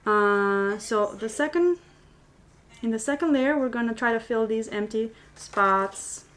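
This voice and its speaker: A woman's voice: fairly high pitch, unhurried, a bit bright, poor audio. A woman whose first language is Albanian, chatting as she teaches paper flower making on a live stream, with many fillers and restarts. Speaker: paper flower crafter and tutor. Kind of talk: live-stream craft tutorial, reading comments.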